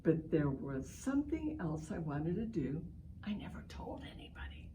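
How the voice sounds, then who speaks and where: A woman's voice: sits low, sing-song, normal speed, warm tone, a little hiss or hum. A woman reading a picture book aloud to children, with exaggerated emphasis, exclamations and simple asides to her young listeners. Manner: explains simply, addressing young listeners directly. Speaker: reading session leader for children.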